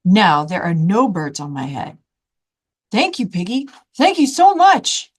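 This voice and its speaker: A fussy, worried male elephant from a picture book, played by a woman. He frets and questions everything in disbelief. His pitch swings widely, dipping and leaping as he complains. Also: huge pitch swings, measured pace, breathy edge, heavily acted. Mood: relieved. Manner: fretful, incredulous questioning.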